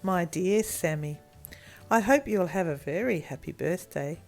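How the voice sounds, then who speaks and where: A woman voicing a firm, measured father in a children's story. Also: fairly low pitch, expressive, gravelly, darkish tone, faint music behind. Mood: fond, measured.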